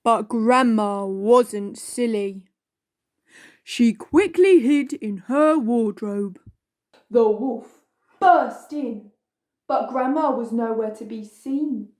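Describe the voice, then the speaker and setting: A woman's voice: fairly high pitch, slow, a little mellow. A woman playing a jolly, childlike storyteller character, telling a children's bedtime story in a playful, exaggerated way. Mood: storytelling. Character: Gruff, jolly childlike storyteller character who loves cake.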